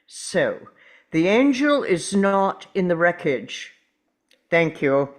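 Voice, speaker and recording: An actor playing a protective time traveller, very slow delivery, earnest, stubborn, urgent, script table read. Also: medium pitch, sing-song. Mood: questioning.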